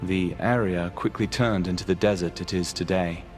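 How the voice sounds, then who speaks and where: A man's voice: deep voice, fairly even intonation, normal speed, natural voice. A man playing a solemn storyteller, narrating fantasy lore for a video game. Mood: solemn, measured. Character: solemn storyteller recounting a fantasy land's history. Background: faint music.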